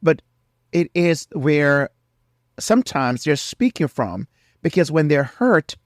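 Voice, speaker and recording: A man's voice: on the high side, sing-song, takes it slowly, dry recording, studio quality. Nasal man with a head cold. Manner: earnest, preacherly, repeats key phrases. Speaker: podcast host. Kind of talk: faith-based marriage advice monologue.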